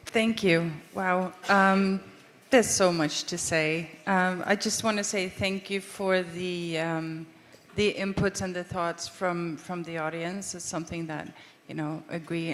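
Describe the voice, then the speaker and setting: A woman's voice: on the low side, steady tone, a little slow, a bit bright, slight background noise. Hesitant Swedish-born woman panelist, echoey hall. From Sweden. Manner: thinking aloud, with many ums, restarts and sighs. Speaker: panelist from a government aid agency. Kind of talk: panel discussion answer.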